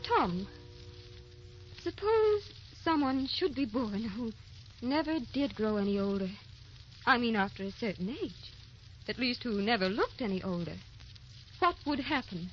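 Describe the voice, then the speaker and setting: An actress playing a tender, anxious young wife in an old radio drama, with a theatrical, wistful, pleading delivery. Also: female voice, a little high, big pitch swings, a little slow, pauses often. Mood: anxious, tearful. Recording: dull, hissy old radio recording.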